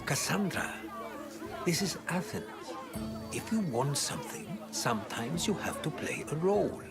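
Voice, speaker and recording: An actor playing a worldly, patient advisor in ancient Athens in a video game, wry and given to explaining. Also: male voice, a little high, very animated, measured pace, over loud music, over a noisy crowd. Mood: advising.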